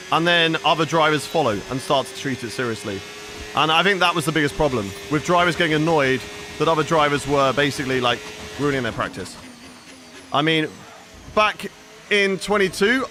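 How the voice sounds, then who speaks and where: A man's voice: fairly high pitch, background noise. A young man streaming a racing game, chatting with his viewers and answering their questions as he plays. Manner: chatty, reads and answers chat while playing. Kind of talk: live gaming stream chat.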